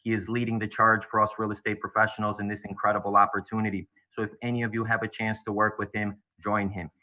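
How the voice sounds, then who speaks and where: A man's voice: fairly low pitch, fast, rough recording. A man in his thirties from Bulgaria, giving a formal, rehearsed speech as a guest speaker over a video call. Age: 30s. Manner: formal, steady, rehearsed delivery. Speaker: real estate agent and guest speaker.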